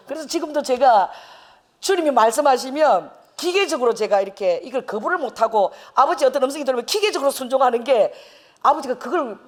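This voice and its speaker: Woman pastor, expressive preacher with a swinging, lively pitch and a storyteller's laugh. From Busan, South Korea. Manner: animated storytelling, laughing often. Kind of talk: sermon.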